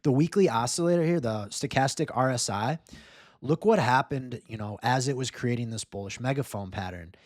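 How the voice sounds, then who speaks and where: A man's voice: medium pitch, moderate pace, no room sound, clean studio sound. A man hosting casual market commentary, talking informally and upbeat, straight to his viewers. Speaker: host, crypto market analyst. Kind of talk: casual chart commentary to camera or screen share.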